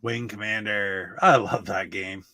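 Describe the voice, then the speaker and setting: A man's voice: on the low side, fairly even intonation, measured pace, bright, forceful tone, no echo. A man chatting casually as a panelist on a video game podcast. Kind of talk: casual chat.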